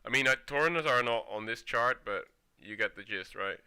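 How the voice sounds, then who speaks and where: Chatty Dutch man with a bright, clear voice. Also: medium pitch, normal speed. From Netherlands. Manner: relaxed, chatty, laughs often. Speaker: streamer. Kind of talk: casual gameplay commentary.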